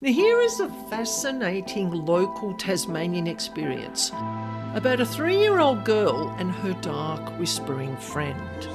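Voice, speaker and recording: A woman's voice: on the low side, wildly swooping pitch, a little slow, over music. A woman giving a conversational podcast monologue, speaking emphatically and directly to the listener as host. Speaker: podcast host and paranormal specialist.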